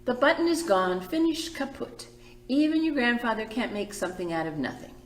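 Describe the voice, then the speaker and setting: Woman acting a fussy, exasperated storybook mother, lively pitch. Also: brisk, roomy sound, recorded from a distance, a little hiss or hum, poor audio. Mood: firm, dismayed. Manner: emphatic, rhyming, singsong scolding. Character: fussy, exasperated mother scolding her young son.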